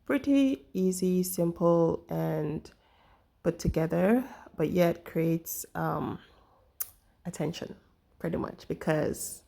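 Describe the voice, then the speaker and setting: A young woman talks through her makeup routine in a relaxed, chatty way, full of little fillers. Her voice sits low and sounds husky and gravelly because she is getting over the flu. She comes across as an easygoing beauty vlogger. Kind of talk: casual makeup tutorial talk.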